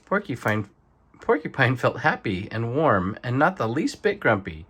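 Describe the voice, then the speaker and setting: Animated male storybook reader, mid-pitched. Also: moderate pace, slightly rough. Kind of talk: expressive bedtime story narration.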